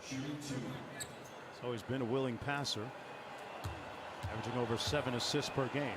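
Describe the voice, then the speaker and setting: A man's voice: mid-range voice, steady tone, normal speed. A man calling a basketball game as a broadcast play-by-play announcer. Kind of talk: broadcast sports commentary. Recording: very noisy replayed arena broadcast audio.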